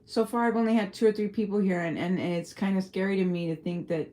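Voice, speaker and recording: A woman's voice: mid-range voice, steady tone, quick pace, mellow. A woman from El Paso with a Texan accent, chatting casually on a livestream, rambling and swearing freely. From El Paso, Texas. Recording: faint music behind.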